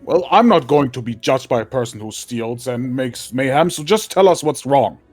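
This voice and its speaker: Husky, blunt man player. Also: medium pitch, animated, normal speed, a little mellow, soft background music. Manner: blunt, indignant. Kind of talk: in-character tabletop roleplay.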